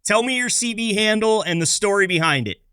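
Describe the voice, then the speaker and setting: Male host, casual chat, fairly high forceful voice, dry close sound. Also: lively intonation, a little fast, clean tone, no room sound. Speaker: show host, interviewer.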